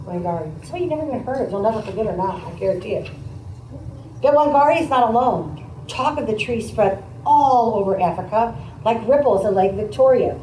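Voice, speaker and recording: Mellow woman teacher reading aloud, echoey room. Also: a little high. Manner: conversational, questioning, pauses to comment to listeners. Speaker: teacher demonstrating a read aloud to pupils. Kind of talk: picture-book read aloud with think-aloud commentary. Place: echoey indoor classroom. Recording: roomy, distant mic, faint background noise.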